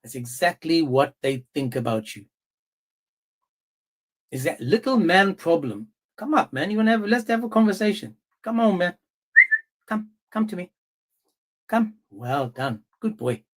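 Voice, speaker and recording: A male live-stream host with a slightly high-pitched voice. He taunts and badgers his guests, cutting in over them in a confrontational way. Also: very animated, unhurried, pauses often. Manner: mocking, confrontational, talks over others. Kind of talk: heated live-stream debate.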